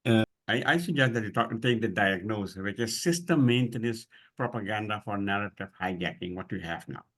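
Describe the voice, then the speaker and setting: A man moderating an online discussion in an informal way, speaking haltingly and searching for his words. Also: on the low side, lively intonation, fairly bright tone. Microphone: online video call.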